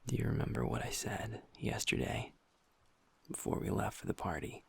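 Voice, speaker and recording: Soft sad werewolf bf, a guy doing it, super husky half-whispered voice, gravelly, audio rp. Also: low voice, big pitch swings, moderate pace, full character voice. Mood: subdued. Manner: soft, hushed, intimate talk to a partner. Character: gentle young male werewolf boyfriend, pack beta, guilt-ridden. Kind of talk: audio roleplay.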